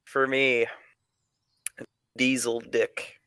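A man's voice: medium pitch, flat delivery, very slow, bright, forceful tone, clear, resonant tone, dry recording. A man casually telling stories to friends and a live chat as a streamer, crude and swearing heavily.

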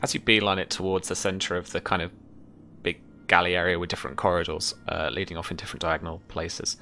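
A man's voice: low-pitched, level tone, fast talker, pushed and bright, slight background noise. A young man running a tabletop game as game master, describing scenes casually in piecemeal phrases. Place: indoor room, video call.

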